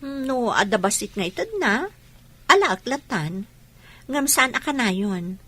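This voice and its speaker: A woman's voice: on the high side, sing-song, a little slow, a bit bright, slightly rough, heavily acted. A woman playing a lovesick, wistful young Filipina in an Ilocano audio drama, with an Ilocano accent. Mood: probing.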